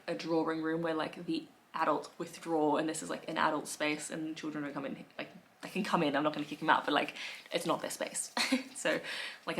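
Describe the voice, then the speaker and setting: A woman in her thirties with an Australian accent, chatting wryly to camera from her living room, with soft laughs and fillers. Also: fairly low pitch. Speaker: lifestyle vlogger. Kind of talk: casual talk to camera.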